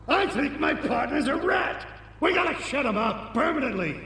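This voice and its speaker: A man's voice: very high voice, sing-song, moderate pace, vocal fry, bright, forceful tone, echoey room, long reverb tail, distant mic, slight background noise, exaggerated voice. A man playing a crooked, middle-aged American cop in a video game, agitated, scheming and full of streetwise slang. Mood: grim scheming. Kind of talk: video game cutscene acting.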